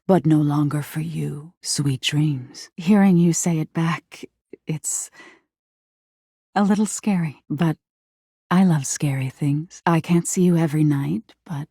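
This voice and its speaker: A woman playing a living nightmare spirit in a video game, flirty and menacing yet secretly insecure, with a breathy, teasing delivery that often drops into whispers. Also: sits low, warm tone, no room sound, clean studio sound, heavily acted. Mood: tender, teasing. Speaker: voice actor in a dating simulator game. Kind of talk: intimate theatrical video game acting.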